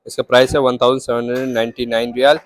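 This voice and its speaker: A man tours a large indoor shopping mall with a handheld phone, reading out gadget prices one after another. His voice stays flat and even, with little rise or fall, at an ordinary middle pitch. The talk is casual, repetitive, and plain. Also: racing. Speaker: vlogger showing phone prices. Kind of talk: casual walk-through shop tour.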